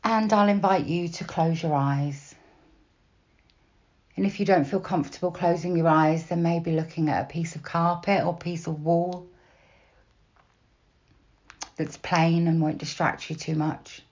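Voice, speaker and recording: A woman guiding a meditation in a slow, soft, unhurried manner with long pauses between phrases. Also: lowish voice, fairly even intonation, highs cut off. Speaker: meditation guide. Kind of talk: guided body scan meditation, gentle instruction.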